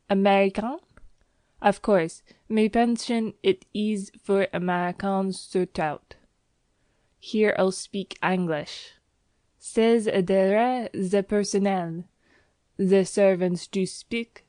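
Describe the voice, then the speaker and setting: A woman's voice: mid-range voice, takes it slowly, warm tone, dry recording, lightly acted. Woman putting on a gushing French landlady. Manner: gushing, broken English sprinkled with French. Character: stout, effusive French landlady, middle-aged woman.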